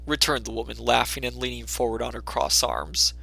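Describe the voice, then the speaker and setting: Bright, creaky-voiced male storyteller. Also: medium pitch, expressive, a little fast, no room sound. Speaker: storyteller, narrator. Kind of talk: dramatic scripted narration of a classic tale.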